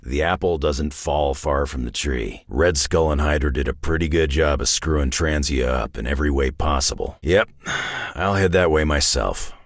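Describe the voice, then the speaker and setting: An actor playing a gruff, battle-worn older mutant brawler in a video game, with a deep gravelly rasp and a terse, sardonic, folksy tough-guy delivery. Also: normal speed, dry recording, clean studio sound. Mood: gruff, matter-of-fact. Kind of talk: video game character voice lines.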